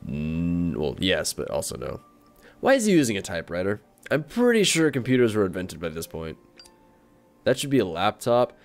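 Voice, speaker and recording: Let's player, male: lowish voice with animated swings, casual and self-deprecating. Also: normal speed. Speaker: video game let's player. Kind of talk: casual, rambling game commentary.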